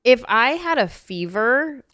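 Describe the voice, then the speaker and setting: A woman's voice: fairly high pitch, big pitch swings, takes it slowly, fairly bright tone. A congested woman hosting a podcast in a studio, chatting casually and telling stories with wry, self-mocking asides. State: congested with a cold. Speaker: podcast host. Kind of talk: casual chat.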